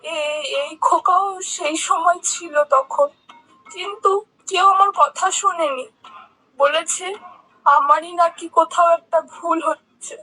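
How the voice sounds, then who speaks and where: Woman playing a high-pitched, complaining old auntie. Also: fairly even intonation, slow, pushed and bright, faint music behind, exaggerated voice, low-quality recording. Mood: complaining, pleading. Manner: complaining, insistent. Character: aggrieved, insistent old village auntie. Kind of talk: cartoon character acting.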